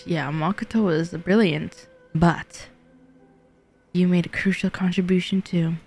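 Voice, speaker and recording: A woman's voice: fairly low pitch, fairly even intonation, a little slow, gravelly, a little mellow. A woman streamer playing a game, reacting casually and reading lines aloud. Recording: background music under the voice.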